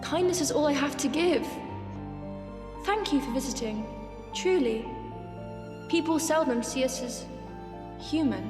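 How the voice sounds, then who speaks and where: A young woman acting a gentle, timid, selfless prisoner's daughter in a period audio drama, meek and earnest. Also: high-pitched, animated, unhurried, pauses often. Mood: quiet, wistful. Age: young adult. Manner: soft-spoken, meek, earnest. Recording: echoey hall reverb, faint music.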